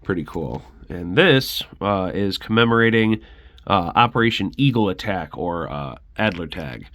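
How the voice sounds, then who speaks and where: A man's voice: deep voice, lively intonation, moderate pace, fairly bright tone. A man with a congested, sick voice giving a casual, rambling show-and-tell as host of a collection channel.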